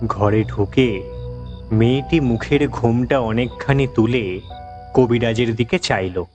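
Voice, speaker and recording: A man narrates a horror story at a slow, measured pace. His voice is medium in pitch and dramatic with suspense. Faint music plays behind him. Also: darkish tone.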